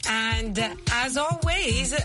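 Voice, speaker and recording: A woman hosting an English lesson show, explaining simple words deliberately and chatting with guests. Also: a little high, loud music behind the voice. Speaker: host and English teacher. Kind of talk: slow, clearly explained language lesson chat.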